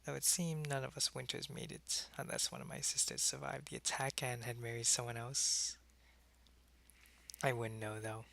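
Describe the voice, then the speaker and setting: A man playing a wistful, friendly, centuries-old vampire in an intimate, soft-spoken ASMR roleplay, talking casually and often slipping toward a whisper. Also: mid-range voice, animated, moderate pace, creaky. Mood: wistful, reminiscing.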